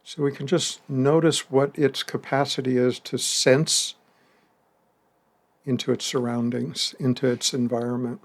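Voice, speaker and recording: A man guides a meditation in a calm, unhurried way, leaving long pauses between phrases. His voice has a gravelly edge at a mid pitch, and a soft laugh slips in now and then. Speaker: meditation teacher.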